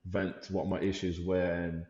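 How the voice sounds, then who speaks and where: A man's voice: deep voice, level tone, a little slow, a little mellow, reverberant, long reverb tail, highs cut off. A man from Lithuania, a guest on a podcast, talking in an easygoing, reflective way and repeating words as he searches for them. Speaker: podcast guest, life coach and youth worker. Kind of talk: casual podcast conversation.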